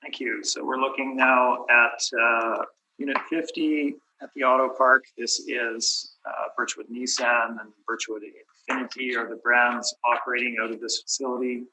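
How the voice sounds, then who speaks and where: A hoarse man losing his voice, presenting his side at a property tax hearing in a methodical way, often clearing his throat. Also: mid-range voice, fairly even intonation, moderate pace, sharp tone, a little hiss or hum, low-quality recording. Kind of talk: formal presentation with many fillers. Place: municipal committee room.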